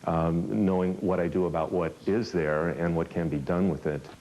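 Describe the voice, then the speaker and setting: A man's voice: sits low, fairly even intonation, moderate pace, poor audio. A man giving interview commentary as a film archive expert. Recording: music behind the voice.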